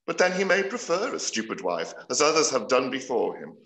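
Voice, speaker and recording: A man playing a dry, teasing middle-aged gentleman and father in a period comedy. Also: mid-range voice, brisk. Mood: sardonic teasing. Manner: wry, deadpan teasing. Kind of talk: play read-through, period comedy. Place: indoor room, over video call. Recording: roomy video-call audio.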